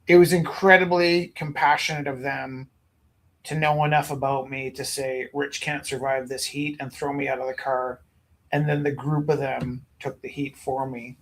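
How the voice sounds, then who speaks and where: A man from Alberta, a gallery owner and teacher, rambling through animated anecdotes in casual chat. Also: mid-range voice, normal speed, a little hiss or hum, rough recording. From Alberta, Canada.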